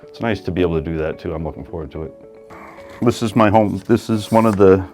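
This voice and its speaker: A very deep-voiced man from Canada recounts his own story in an interview, relaxed and halting, with plenty of fillers. Soft music plays faintly underneath.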